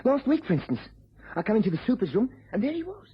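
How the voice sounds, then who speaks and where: An actor playing an anxious, earnest young English police constable in a vintage radio drama, hesitant and deferential. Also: male voice, sits high, big pitch swings, fast. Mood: worried. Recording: muffled, noisy old radio recording.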